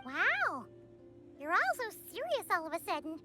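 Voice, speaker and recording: A woman voicing a tiny, excitable, blunt childlike companion in an anime video game, squeaky and exclamatory. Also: moderate pace, exaggerated voice. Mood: excited. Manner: exclamatory, animated. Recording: music behind the voice.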